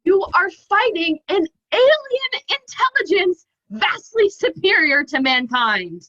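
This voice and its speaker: A woman's voice: very high-pitched, sing-song, takes it slowly, a bit bright, heavily acted. A teenage girl playing a pompous, bossy, exasperated writer-director in a stage comedy over a lo-fi video call. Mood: imperious, exasperated. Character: imperious, exasperated writer-director guarding her script. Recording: dull lo-fi video-call audio.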